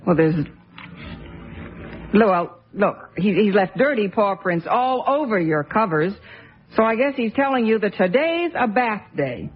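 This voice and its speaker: A woman's voice: mid-range voice, big pitch swings, a little slow. An actress playing a worried mother in a radio drama, firm and scolding but caring toward her sick son. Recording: dull lo-fi old radio recording.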